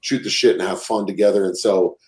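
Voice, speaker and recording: A man's voice: deep voice, fairly even intonation, a little fast, darkish tone. A man in his forties hosting a podcast, talking candidly and casually, swearing freely and laughing along. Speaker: podcast host. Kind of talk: casual conversational interview. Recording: roomy echo.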